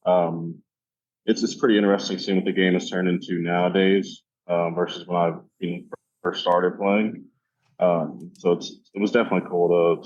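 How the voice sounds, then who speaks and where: A man answering questions as a podcast guest in casual chat, speaking in halting, hesitant phrases. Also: sits low, muffled recording, slight background noise. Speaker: podcast guest, competitive cornhole player.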